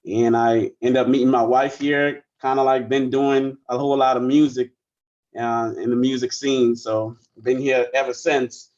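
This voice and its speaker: A man in his forties, born in the Bahamas, chatting casually as a musician guest in an interview, relaxed and rambling with plenty of fillers. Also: mid-range voice, normal speed, lo-fi sound. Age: 40s.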